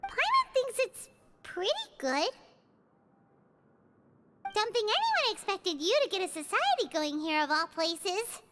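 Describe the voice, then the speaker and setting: A woman voicing a small, cheeky female fairy companion in a video game, with a squeaky, childlike voice. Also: big pitch swings, measured pace, pauses often, heavy background noise, exaggerated voice. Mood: chirpy. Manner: speaks of self in third person. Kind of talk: cartoonish video game voice acting. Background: prominent music.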